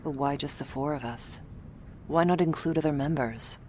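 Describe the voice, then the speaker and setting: Woman acting a very deep-voiced vampire henchwoman. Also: moderate pace, dark tone, slight background noise, natural voice. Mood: questioning. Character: loyal female vampire henchwoman, wary and deferential to her boss. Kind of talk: ASMR roleplay acting.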